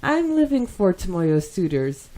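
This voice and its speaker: A woman hosting a podcast, chatting casually and reading listener comments aloud in an animated way. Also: lowish voice, measured pace. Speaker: podcast host.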